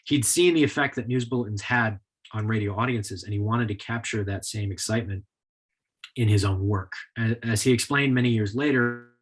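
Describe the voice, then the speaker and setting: A man giving a prepared history lecture in a measured, explanatory style. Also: fairly low pitch. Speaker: lecturer, author.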